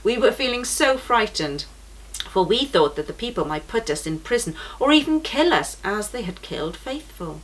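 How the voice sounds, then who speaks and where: A woman reading as a gentle pilgrim mother. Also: medium pitch, very animated, normal speed, husky, low-quality recording. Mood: relieved.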